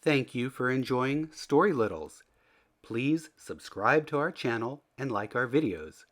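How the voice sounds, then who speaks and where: Female storybook narrator: notably deep voice, slow pace, expressive delivery of children's verse. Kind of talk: scripted children's read-aloud in rhyme.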